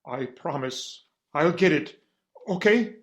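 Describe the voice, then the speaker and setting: A man's voice: on the high side, expressive, slow pace, fairly bright tone. A man playing a stifled, lovestruck English attorney in a comic stage reading, fussy, earnest and self-deprecating. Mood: apologetic, smitten. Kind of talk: comic stage readers theater acting.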